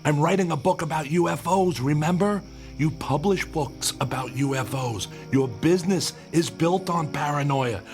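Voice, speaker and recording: An actor playing a skeptical, sarcastic writer who is rattled and argumentative, in an audio drama. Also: male voice, a little high, normal speed, a little mellow, faint music behind, natural voice. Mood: agitated, accusing. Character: skeptical, rattled male author writing about UFOs.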